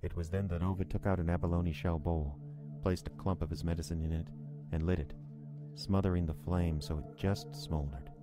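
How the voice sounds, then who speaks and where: An extremely low-pitched man an actor narrates an audio drama as a sober, uneasy witness. His telling is measured and literary. Music is clearly heard beneath the voice. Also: steady tone, close to an everyday voice. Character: sober male narrator, uneasy listener to Ovid's tale.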